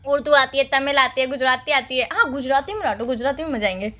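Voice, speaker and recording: A woman's voice: sits high, fast talker, sharp tone. A young woman chatting casually on a phone call, teasing and playfully insisting. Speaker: girlfriend on a call.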